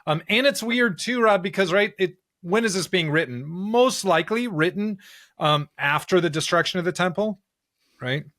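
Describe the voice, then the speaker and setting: A man's voice: high voice, expressive, normal speed, a bit bright, dry recording, studio quality. A man co-hosting a podcast, explaining ideas conversationally and often punctuating his points with a questioning "right?". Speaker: podcast co-host. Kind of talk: conversational discussion of scripture and pop culture.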